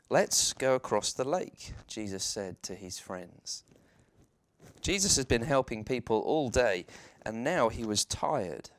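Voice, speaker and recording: A man, a reverend, telling a children's bedtime story in a measured, dramatic way with deliberate pauses. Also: on the low side, vocal fry, a bit bright, no echo.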